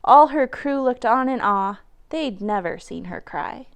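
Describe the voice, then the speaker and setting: Lady doing library storytime, reading rhymes to kids, expressive n dramatic, clear highish voice. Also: unhurried. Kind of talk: animated children's rhyming picture-book reading.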